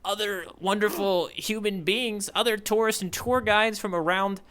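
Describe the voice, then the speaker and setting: Guy podcast host, kinda high n really bright voice, rambly jokey chat. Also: fairly even intonation, normal speed, slight background noise. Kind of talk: casual podcast chat, reading listener letters.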